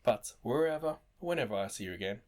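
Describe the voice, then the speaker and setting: Guy doing chill game commentary for his channel, kinda musing and chatty, medium pitch. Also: lively intonation, fast, a little mellow. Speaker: gaming YouTuber host. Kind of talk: casual let's-play commentary.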